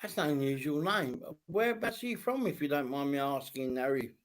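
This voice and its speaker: A man from Turkey with a slightly high voice talks as a guest on a casual live stream. He is friendly and curious, asks viewers where they are from and laughs easily. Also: expressive, fairly quick. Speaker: medium and spiritual teacher.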